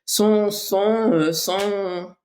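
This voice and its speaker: A woman's voice: mid-range voice, steady tone, very slow, a little mellow. A woman from French Guiana, a bodybuilding athlete, talking as a guest in a casual podcast interview, reflective, with many fillers and restarts. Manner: reflective, frequent restarts and fillers, laughs easily.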